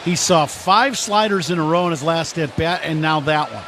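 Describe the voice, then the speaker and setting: A man's voice: a little high, normal speed. An American man giving conversational, explanatory color commentary on a live baseball radio broadcast. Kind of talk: live radio game analysis. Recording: crowd ambience noise behind broadcast. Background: ballpark ambience noise.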